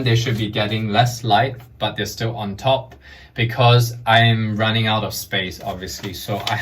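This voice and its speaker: Man, slow pace, mid pitch, calm explaining, home indoors. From Malaysia. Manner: unhurried, explains while pointing things out. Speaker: guest plant grower. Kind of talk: casual home tour explanation. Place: indoors at home beside his plant shelves.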